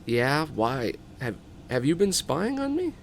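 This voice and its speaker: A driven, stubborn woman scientist from an audio movie script , read by a man. The voice is creaky and insistent, swearing in disbelief. There is faint background noise on the recording. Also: fairly high pitch, huge pitch swings, slow, exaggerated voice. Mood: suspicious, incredulous. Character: stubborn, driven woman astronomer fixated on a star.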